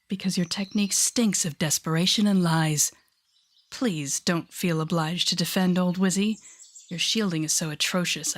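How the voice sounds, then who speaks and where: Female villain played by an actress for audio roleplay: menacing telepath, breathy lowish voice, mocking, theatrical, taking deliberate pauses. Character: sardonic, menacing telepathic woman villain taunting a rookie hero. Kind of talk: audio roleplay acting addressed to the listener.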